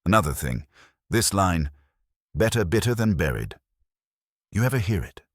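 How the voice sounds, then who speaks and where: Wry deep-voiced detective's sidekick acted by a man. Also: big pitch swings, moderate pace, no echo, clean studio sound. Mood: wry, probing. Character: wry, quick-witted male private detective's assistant.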